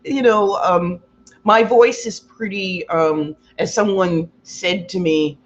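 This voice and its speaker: A woman with a loud, carrying voice giving a rambling, casual self-help talk as a Reiki practitioner, acting out quotes with big exaggerated jumps in pitch. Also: slow pace, lo-fi sound. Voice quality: loud voice that carries. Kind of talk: casual motivational talk to viewers.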